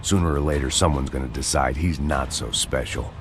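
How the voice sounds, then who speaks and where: An actor playing a weary hard-boiled ex-cop, narrating in cynical, sardonic noir voice-over. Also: male voice, very deep voice, brisk. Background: music.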